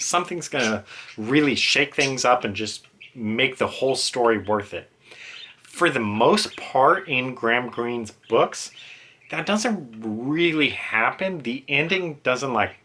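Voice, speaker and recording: A man's voice: medium pitch, pushed and bright. A man giving a casual, unscripted book review in a conversational, slightly hesitant and self-deprecating way.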